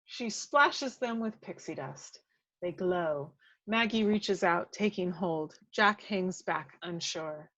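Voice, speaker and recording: Female narrator for screen action, dramatic and very unhurried, slightly muffled, very dry mic. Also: on the low side, lively intonation, fairly bright tone, no echo. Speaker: script narrator. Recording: dull lo-fi, dry microphone.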